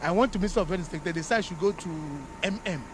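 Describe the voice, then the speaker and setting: A man with a Nigerian accent giving a street interview, telling his account in steady detail. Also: high voice, racing. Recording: street noise with passing vehicles.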